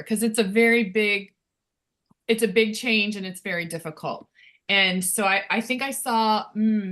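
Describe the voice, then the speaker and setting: A woman from the United States moderating a panel discussion, casual and chatty, with quick asides. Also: medium pitch, fairly bright tone.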